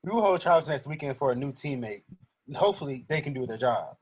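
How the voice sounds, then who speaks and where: A man's voice: mid-range voice, lively intonation, brisk, husky. A young man acting in a student play as a brash, blunt, competitive gamer. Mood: dismissive, harsh. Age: young adult. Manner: blunt, cocky.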